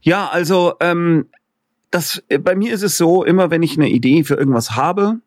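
A middle-aged man, an author and filmmaker, talking as an interview guest in a lively, joking, rambling way. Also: on the high side, normal speed, dry recording, studio quality. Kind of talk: casual interview conversation.

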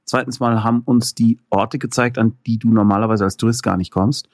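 A man's voice: mid-range voice, steady tone, a little fast, a little mellow, dry recording, studio quality. A man being interviewed in casual chat, telling stories animatedly with many fillers and laughs. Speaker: interview guest, filmmaker.

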